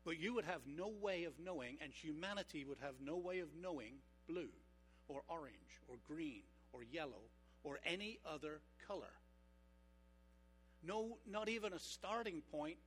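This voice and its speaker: A man preaching a sermon as pastor, engaging his congregation with rhetorical questions and slowing down for emphasis. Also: sits high, fairly bright tone, husky. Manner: slows down for emphatic points.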